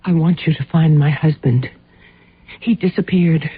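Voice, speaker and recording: An actress in a radio drama playing a desperate, insistent wife with a husky voice, pleading for help. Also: female voice, deep voice, steady tone, measured pace, no echo, full character voice. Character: desperate pleading wife seeking her missing husband. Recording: muffled narrowband old radio recording.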